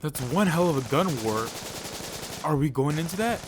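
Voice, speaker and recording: A man's voice: a little high, expressive, moderate pace, background noise, natural voice. A man voicing Ed, a young teammate who wisecracks and complains. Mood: joking.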